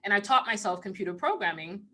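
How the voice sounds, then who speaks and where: Female live-stream host, fifties, from Trinidad. Mid-range pitch, informal, playful, conversational delivery. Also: animated, fast, bright, forceful tone. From Trinidad and Tobago. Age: 50s. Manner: informal, playful, breaking into chuckles. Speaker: spiritual channeler hosting a live stream Q&A. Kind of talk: casual live-stream chat. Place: online live video call.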